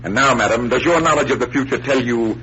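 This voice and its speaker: An actor playing a confident, teasing magician detective in a 1940s radio mystery, with theatrical, precise delivery. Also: male voice, medium pitch, fast talker, pushed and bright, close to an everyday voice. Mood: smug, taunting. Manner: theatrical, precise, playful taunting. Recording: muffled hissy vintage radio recording.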